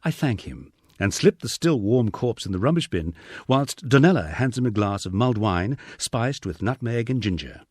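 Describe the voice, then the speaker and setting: A man's voice: mid-range voice, lively intonation, moderate pace, slightly rough, no echo. A British man narrating a humorous memoir in a wry, dry storytelling manner. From United Kingdom. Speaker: audiobook narrator.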